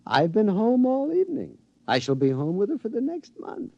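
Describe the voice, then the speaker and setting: Excitable henpecked schemer, wildly swooping pitch. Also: male voice, normal speed, creaky, dry recording, exaggerated voice. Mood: smug, delighted. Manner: excitable, stammering, conspiratorial. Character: henpecked husband, gleefully scheming and secretive. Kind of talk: mid-century radio drama acting. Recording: dull, noisy vintage radio recording.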